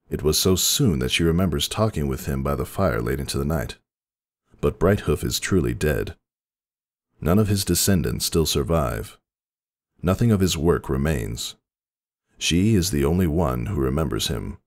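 A man's voice: a bass voice, lively intonation, moderate pace, dry recording, studio quality. A man narrating fiction as a dramatic scripted reading.

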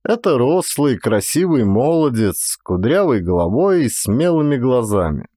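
A male actor narrates literary prose expressively. His mid-pitched voice moves in lively, dramatic swings. The recording is dry and close. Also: moderate pace, dry recording.

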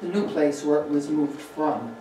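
Lady doing a slideshow talk, rambly with ums, far off in a boomy room. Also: moderate pace, warm tone, slight background noise. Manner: chatty, loose, with fillers. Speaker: local history presenter. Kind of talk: slide-show history talk. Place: meeting room with projector. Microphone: far room mic. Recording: very echoey room, distant mic.

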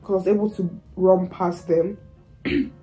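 Chatty young woman, slightly raspy voice. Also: lowish voice, steady tone, a little slow, dark tone, faint music behind, rough recording. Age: young adult. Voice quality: raspy from lost voice. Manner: chatty, rambling with fillers and restarts. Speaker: student vlogger. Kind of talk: casual vlog storytime. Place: small student bedroom indoors.

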